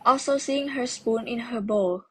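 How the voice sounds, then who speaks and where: A woman's voice: fairly high pitch, measured pace, a bit bright, poor audio. A woman narrating a scripted children's story for a puppet show. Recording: clear background noise.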